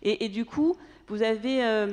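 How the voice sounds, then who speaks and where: A woman moderating a live panel asks her questions haltingly, correcting herself as she goes. Her voice rings out with the long reverb of a theater hall. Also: fairly high pitch, darkish tone. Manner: halting questions with many fillers. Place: theatre stage.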